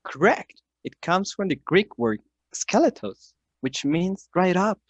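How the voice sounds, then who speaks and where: A man's voice: high voice, big pitch swings, unhurried, full character voice. A man playing the narrator of a classroom radio play, explaining things in an instructive, scripted way. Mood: explanatory. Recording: dull lo-fi recording.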